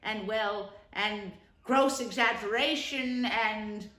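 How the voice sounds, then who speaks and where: A woman's voice: medium pitch, slow, pushed and bright. A woman voicing a firm, kindly male water rat who speaks in an earnest way. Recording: roomy echo.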